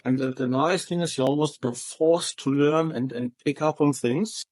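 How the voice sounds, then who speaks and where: A man answering interview questions about his company, speaking in halting phrases with frequent restarts. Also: fairly high pitch, darkish tone, no echo. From Germany. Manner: halting, many restarts and repeated words. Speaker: roofing company manager.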